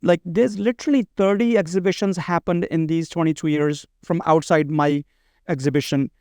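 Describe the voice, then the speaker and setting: High-pitched, smooth man. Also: fairly even intonation, moderate pace, darkish tone, no echo, clean studio sound. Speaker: podcast guest, bridal expo organizer. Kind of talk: casual conversational interview.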